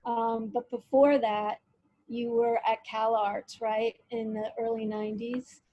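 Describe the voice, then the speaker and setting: High-voiced woman hosting an interview. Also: flat delivery, a little slow, mellow, dry recording, muffled recording. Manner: hesitant, reads quotes aloud, many fillers. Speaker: interview host. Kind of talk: conversational video interview.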